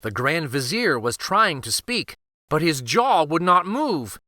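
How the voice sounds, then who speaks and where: A man reading a children's picture book aloud in an expressive, deliberate, theatrical storytelling style. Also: a little high, no room sound, studio quality.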